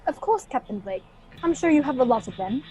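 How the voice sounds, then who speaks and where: A woman's voice: high voice, expressive, fairly quick, darkish tone, rough recording. A woman playing an admiring, authoritative space officer in a radio play. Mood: courteous.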